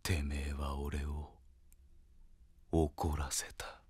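An actor playing a stoic, gruff young tough hero in a Japanese audio drama, terse, deadpan and menacing. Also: male voice, rumbling low, extremely slow, full character voice. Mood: cold menace. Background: dramatic music.

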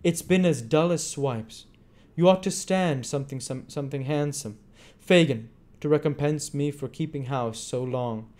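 A man's voice: a little high, normal speed, hardly any pauses, a little mellow, pitched above the performer's own voice, close to an everyday voice. A man voicing a haughty, flashy London thief, grumbling in boastful slang in a storybook reading. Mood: bored grumbling. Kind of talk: acted dialogue in a classic novel reading.

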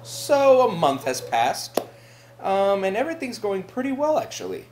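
A man's voice: sits high, huge pitch swings, moderate pace, background noise. A man playing an irritable young roommate in a mockumentary comedy sketch, whiny, exasperated and emphatic. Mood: fed up.

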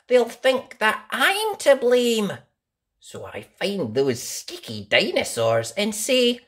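Woman doing a kids read-aloud, really swoopy voice, takes it slow and dramatic. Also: medium pitch. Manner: theatrical, deliberate storytelling. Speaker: story reader and host. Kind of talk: expressive children's read-aloud.

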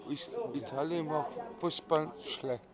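A middle-aged man answering questions outside a café, halting and hesitant, repeating his words as he searches for them. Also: a little high, steady tone, crowd noise. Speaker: interviewee. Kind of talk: casual street chat. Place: outdoors in front of a café. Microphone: handheld camera nearby. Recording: muffled lo-fi sound with people around.

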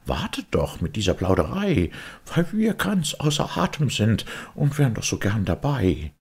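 A man's voice: very animated, normal speed, vocal fry, a little mellow, breathy edge, exaggerated voice. A man voicing small, naive young oysters in a storybook, with an anxious, pleading manner. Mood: breathless pleading. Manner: high, pleading.

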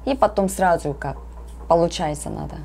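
A woman with a Korean accent, a native Korean speaker, giving casual reaction commentary in short exclamations and simple halting phrases. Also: fairly low pitch, vocal fry, very noisy. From South Korea. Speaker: reaction video host. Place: small room indoors. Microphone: lapel mic. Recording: music playing loudly behind the voice. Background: loud music from the cartoon being watched.

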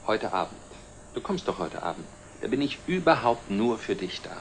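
Casting: smooth-mannered suitor, thirties, lowish voice, slightly hissy old TV drama sound. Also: male voice, big pitch swings, normal speed. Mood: placating. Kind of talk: 1960s TV drama acting. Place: furnished living room set. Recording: faint hiss, old TV soundtrack.

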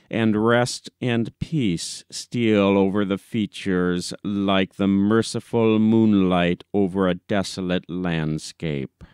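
A man's voice: fairly low pitch, darkish tone, smooth, no echo, clean studio sound. A man narrating a classic humorous short story as an audiobook reader, in a scripted storytelling style.

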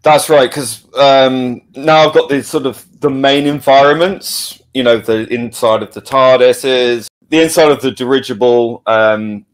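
A man with a mid-pitched voice speaks casually in an interview as an audio producer. He sounds enthusiastic, and he often circles back to restart a phrase before carrying on. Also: fairly even intonation, measured pace, few pauses, poor audio.

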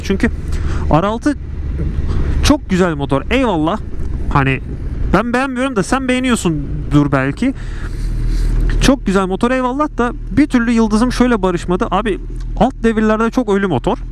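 A man's voice: high-pitched, animated, moderate pace. A man talking casually in a rambling, self-mocking way while riding a motorcycle through city streets, recorded on a helmet mic. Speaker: motovlogger. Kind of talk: casual riding vlog chat. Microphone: helmet mic on a moving motorcycle. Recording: helmet mic with road and wind noise. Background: traffic and engine noise.

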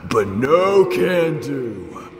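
Man acting a loud, swooping, gleefully violent fighter. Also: medium pitch, sing-song, drawn-out words, long reverb tail, very noisy, exaggerated voice. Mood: menacing. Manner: taunting, theatrical, swooping into shouts. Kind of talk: comic dub acting.

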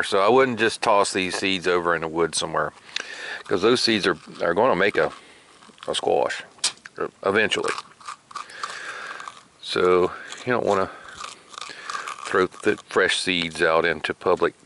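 A man hosting an outdoor cooking video at a campsite, talking through each step in a relaxed, chatty way with fillers. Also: lowish voice, noisy background. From Florida. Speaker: outdoor cooking channel host. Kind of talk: casual how-to narration while cooking outdoors. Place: outdoors at a campsite by a fire pit. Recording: music playing under the voice.

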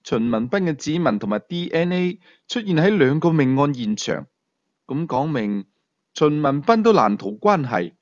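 A man's voice: fairly high pitch, very animated, normal speed, dry recording, muffled recording. A man with a Hong Kong Cantonese accent voicing a stern, stubborn police commander in an audiobook, earnest and argumentative. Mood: insistent. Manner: earnest, insistent.

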